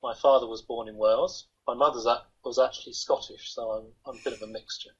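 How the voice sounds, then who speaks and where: Older guy, really husky voice, chatty and self-deprecating, dull lo-fi webcam sound. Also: medium pitch, fairly even intonation, a little fast, a bit bright. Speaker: interview guest. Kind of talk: casual video-call interview. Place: home room, indoors. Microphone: laptop webcam on a video call. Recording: dull lo-fi video call audio.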